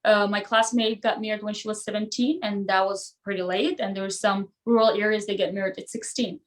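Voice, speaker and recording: A woman's voice: mid-range voice, steady tone, a little fast. A woman who speaks English as a non-native language, chatting casually as a podcast guest and retelling stories with quoted dialogue. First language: not English, a non-native speaker. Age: 30s or older. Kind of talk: casual chat, personal anecdotes.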